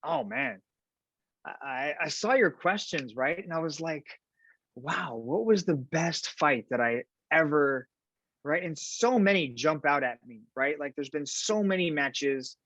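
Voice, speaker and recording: A man, a fight commentator, chatting in an interview and telling lively stories, tagging his sentences with "right?". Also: on the high side, unhurried, no room sound. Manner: animated storyteller, fillers like "right?". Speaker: podcast guest, fight commentator. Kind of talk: casual interview chat. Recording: dull lo-fi sound.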